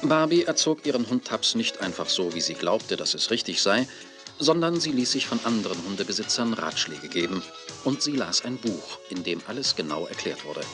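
An actor narrating a children's audio drama as its storyteller. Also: male voice, lowish voice, big pitch swings, moderate pace. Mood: storytelling. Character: storyteller of a children's radio play. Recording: music under 1980s cassette audio play. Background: music.

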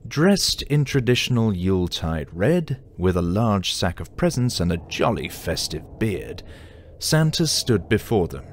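A man playing the storyteller of a festive comic audio drama, narrating in a measured storybook way. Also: lowish voice, sing-song, slight background noise. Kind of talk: scripted narration.

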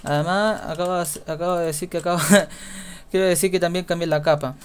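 A young man with a Chilean accent, giving casual gameplay commentary in a quiet mutter that repeats words in runs. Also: on the high side, fairly even intonation, brisk, smooth. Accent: Chilean Spanish. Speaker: gaming YouTuber.

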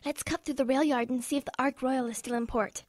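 A curious, adventurous little lad. The voice is high and excitable, with a dry sound suited to a radio play. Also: female voice, brisk, no echo. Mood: adventurous, boastful. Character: excitable, curious, adventurous young English boy. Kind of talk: radio drama acting.

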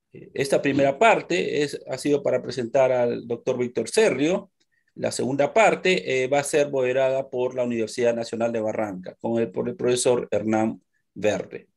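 A man's voice: mid-range voice, creaky, darkish tone. A middle-aged man moderating a live webinar over a video call, introducing speakers with hesitant fillers. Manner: hesitant, listing names with fillers. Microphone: laptop webcam on a video call.

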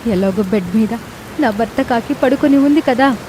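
Woman acting aggrieved wife sparrow, cartoon, highish pitch, pleading melodrama, noisy hissy recording. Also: normal speed, close to an everyday voice. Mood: grimly determined.

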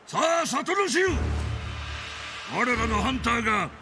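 A fatherly village leader of hunters, declaims with authority in a dramatic game scene. His pitch rises and falls widely over a slow, weighty pace, with clear music behind him. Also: big pitch swings, full character voice. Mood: rousing, proud. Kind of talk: dramatic Japanese video game acting.

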